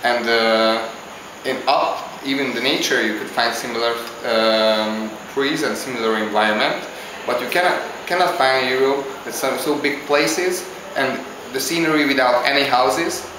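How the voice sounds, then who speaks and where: A man from Hungary, answering interview questions in a halting, casual way as he searches for words. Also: medium pitch, noisy background. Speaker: interviewee, visiting traveler. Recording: very echoey with clear background ambience.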